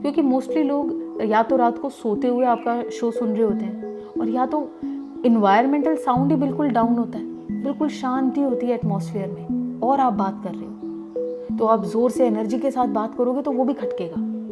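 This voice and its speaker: A woman's voice: a little high, moderate pace, over loud music. A middle-aged woman from Delhi whose first language is Gujarati, a radio jockey explaining voice training to listeners in a conversational way. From Delhi, India. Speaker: radio jockey teaching voice work. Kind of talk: voice-training lesson.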